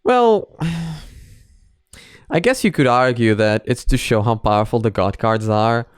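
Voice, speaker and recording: A man reacts casually, thinking aloud in a hesitant ramble. His delivery is slow and very animated in pitch, with a smooth, clear voice recorded dry and close. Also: no room sound. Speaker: host of a reaction video. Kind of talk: casual off-the-cuff reaction commentary.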